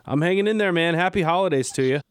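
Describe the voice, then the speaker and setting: A man's voice: a little high, quick pace. A man hosting a podcast, chatting in an upbeat, casual way as he introduces the episode and his guest. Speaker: podcast host.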